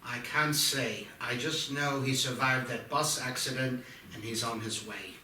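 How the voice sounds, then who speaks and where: A man's voice: mid-range voice, fairly even intonation, a little slow, pushed and bright. A man at a screenplay table read playing an earnest, insistent psychiatrist and war veteran in his fifties. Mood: grave, insistent. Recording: noisy, echoey room, distant mic.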